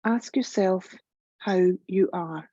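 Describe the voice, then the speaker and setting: A woman guiding a yoga relaxation meditation in a gentle, soothing, measured way, leaving long pauses. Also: mid-range voice, no echo, lo-fi sound. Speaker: yoga nidra meditation guide.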